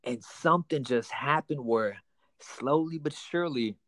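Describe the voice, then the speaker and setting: Guest: young man from Texas, earnest, halting, mid pitch, dry close sound. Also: a bit bright, dry recording. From United States, raised in Houston, Texas. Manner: earnest, halting with many fillers and restarts. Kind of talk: personal testimony in an interview.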